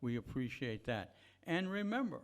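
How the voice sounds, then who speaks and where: A man's voice: mid-range voice, big pitch swings, moderate pace. A man leading a church service, speaking informally to the congregation about giving. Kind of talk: informal offering talk to a congregation.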